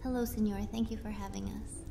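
A woman's voice: medium pitch, little pitch movement, a little fast, gravelly, natural voice. A woman playing a kind, gracious sorceress with a soothing manner in a fantasy audio drama. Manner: gentle, soothing.

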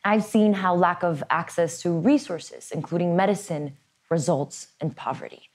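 Woman, 30s, from Latin America. Earnest scripted benefit host, lower register. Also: normal speed, darkish tone. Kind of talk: scripted presenting to camera. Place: in front of a backdrop.

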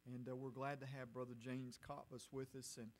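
A middle-aged man, a pastor speaking informally to his congregation from the pulpit in a halting, folksy way. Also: medium pitch, flat delivery. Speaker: church pastor hosting a missions conference. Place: church sanctuary, at the pulpit. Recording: faint hum and background noise.

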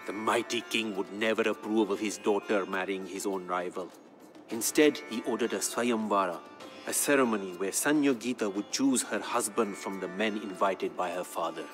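Man playing a deep-voiced, solemn court poet storyteller. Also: a bit bright, natural voice. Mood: reverent storytelling. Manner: measured, grave, dramatic. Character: male court poet solemnly telling his king's legend. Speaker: narrator. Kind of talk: dramatic scripted storytelling narration. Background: background music.

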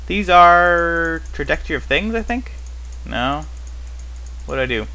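A man's voice: a little high, animated, unhurried, pushed and bright, poor audio. A man giving casual, unscripted gameplay commentary as host, rambling and thinking aloud with wry, puzzled questions to himself. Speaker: host of a gaming series.

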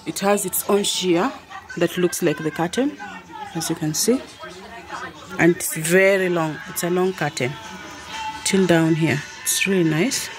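Slow-talking Kenyan woman vlogger. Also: on the low side, over music, a crowd in the background. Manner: unhurried, repeats phrases while pointing things out. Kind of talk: casual house tour narration. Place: indoors, living room of a family home. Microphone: handheld phone or camera held by the speaker.

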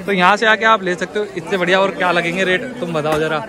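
A man's voice: high-pitched, fast. A man giving a casual vlog walk-through of an outdoor market, repeating prices to his viewers. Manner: repeats prices to the viewers, addressing them as brother. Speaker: vlogger. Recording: busy outdoor market crowd behind voice.

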